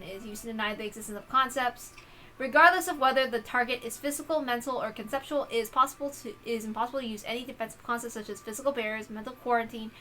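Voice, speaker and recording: A woman hosting an expressive read-aloud of a visual novel, with casual asides of her own. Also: fairly high pitch, poor audio. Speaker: reader and host.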